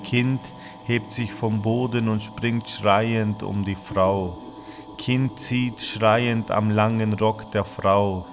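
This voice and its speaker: A man giving a measured, declamatory performed reading of literary texts, in a spoken-word style. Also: on the low side. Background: music.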